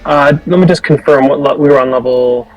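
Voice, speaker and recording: A man with a husky voice talking casually as a player in a tabletop role-playing game. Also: medium pitch, a little fast, highs cut off. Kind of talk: casual improvised role-play talk.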